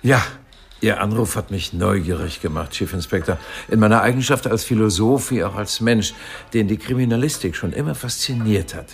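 An actor playing an erudite philosophy professor in a radio drama, donnish, deliberate and wryly amused. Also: male voice, on the low side, soft background music, natural voice. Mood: courteous, curious. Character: erudite, fascinated male Cambridge philosophy professor.

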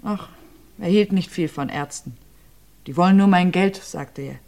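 An actress playing an abused widow being questioned about her husband's death, with hesitant, halting answers that often sink to a near whisper. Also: darkish tone, close to an everyday voice. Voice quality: low, subdued, often drops to a near whisper. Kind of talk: radio crime play.

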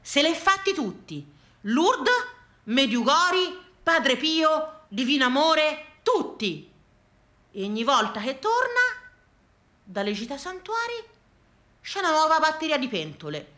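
A woman's voice: high-pitched, very animated, slow pace, sharp tone. A woman with a Tuscan accent performs a theatrical comic monologue, exclamatory and colloquial, repeating herself as if chatting to someone. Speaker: stage actress and storyteller. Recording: roomy, echoing live sound.

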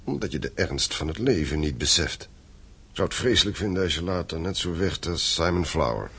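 A man's voice: low voice, animated, brisk, a bit bright, husky, heavily acted. An actor in a radio play playing a stern, domineering middle-aged father who owns a mine, commanding and reproachful. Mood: grave, admonishing. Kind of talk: Dutch radio play acting.

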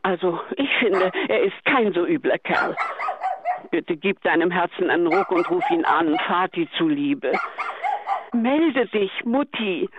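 A woman's voice: fairly low pitch, expressive, unhurried. An actress playing an older, worried and pleading mother leaving an answering-machine message in a German radio drama. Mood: concerned, coaxing. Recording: tinny, noisy phone-line recording.

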